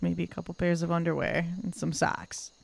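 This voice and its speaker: A woman's voice: on the low side, level tone, normal speed, creaky. A woman playing a tabletop game, casually improvising and describing what her character does and feels.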